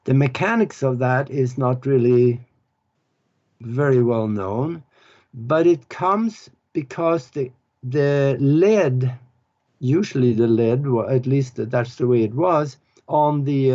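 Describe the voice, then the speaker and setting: A man from Norway. He explains spiritual ideas in an earnest, halting way, pausing often as he searches for words. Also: medium pitch, a little mellow. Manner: unhurried, earnest, halting with pauses. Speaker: author and spiritual teacher. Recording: dull lo-fi recording.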